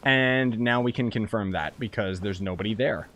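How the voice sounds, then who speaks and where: A young man giving casual, joking let's-play commentary with blunt, quick reactions. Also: lowish voice, a bit bright. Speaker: gaming streamer.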